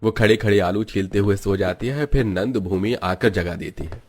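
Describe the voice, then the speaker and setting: नीची आवाज़ वाला पुरुष कथावाचक. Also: male voice, fairly low pitch, moderate pace. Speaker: narrator. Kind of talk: scripted cartoon story narration.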